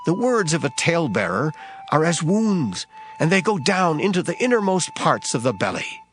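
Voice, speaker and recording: A man reading scripture aloud in a measured, solemn recitation. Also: on the high side, sing-song, a bit bright. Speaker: scripture reader. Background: background music.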